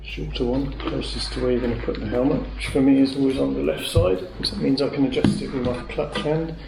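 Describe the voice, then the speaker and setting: A man's voice: medium pitch, steady tone, a little fast, creaky, music in the background. A British man giving a casual hands-on product demonstration, chatty and matter-of-fact as he thinks aloud while working. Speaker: motorcycle gear reviewer. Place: indoors at home. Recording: echoey room with faint clicks and noise.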